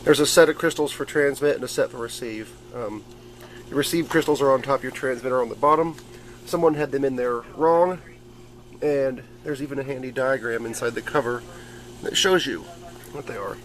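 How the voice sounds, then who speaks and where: A man's voice: mid-range voice, normal speed. A man giving a casual, rambling show-and-tell of his gadgets, explaining things informally as a hobbyist. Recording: clearly noisy amateur camera audio.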